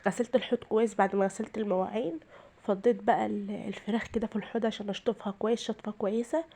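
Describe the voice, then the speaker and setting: Woman, rural Egyptian accent, tired voice, rapid talk, cooking vlog in a home kitchen. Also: medium pitch, steady tone, dark tone. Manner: chatty, explains each step to viewers. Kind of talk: casual cooking narration.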